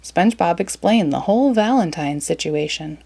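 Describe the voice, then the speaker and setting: A woman's voice: lowish voice, moderate pace, gravelly. Woman reading a storybook aloud. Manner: animated and expressive. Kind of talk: read-aloud storybook narration.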